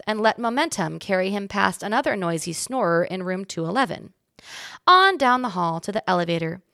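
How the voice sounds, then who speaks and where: A woman's voice: fairly low pitch, gravelly, a bit bright, dry recording, clean studio sound. A woman narrating a children's storybook expressively as a guest reader.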